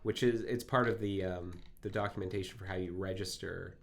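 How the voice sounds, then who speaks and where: A man with a phlegmy throat from a cold hosts a live coding stream, thinking aloud in halting, self-correcting speech full of fillers. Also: low voice, fairly even intonation, creaky.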